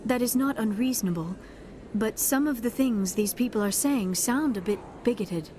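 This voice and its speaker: Woman voicing a gentle alien scholar lady in a game, highish voice, careful and polite, noisy ambience behind. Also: normal speed, close to an everyday voice. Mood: politely disapproving. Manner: polite, precise, reserved. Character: female alien scholar, gentle, thoughtful, quietly principled. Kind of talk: video game dramatic dialogue. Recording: clear background ambience noise.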